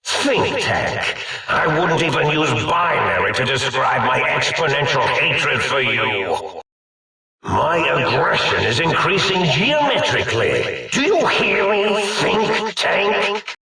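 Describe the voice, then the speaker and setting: An actor voicing an eccentric, absent-minded mad scientist in a video game, a comically villainous figure who rants and rambles in a theatrical, grandiose way. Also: male voice, fairly high pitch, sing-song, takes it slowly, sharp tone, very echoey, recorded from a distance, exaggerated voice, low-quality recording. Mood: villainous ranting.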